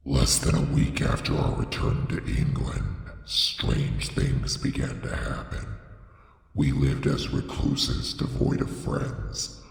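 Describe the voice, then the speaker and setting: Very deep, gravelly man narrating gothic horror. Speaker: narrator reading a horror story. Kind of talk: scripted dramatic horror audiobook narration. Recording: echoey, long reverb on the voice.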